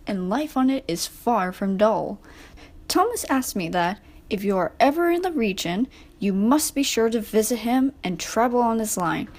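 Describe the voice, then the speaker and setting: A woman's voice: medium pitch, a little mellow. A woman narrating a scripted children's storybook.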